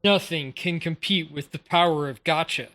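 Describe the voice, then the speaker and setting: A woman's voice: low-pitched, normal speed, fairly bright tone. A woman streamer giving casual live commentary as she plays a game.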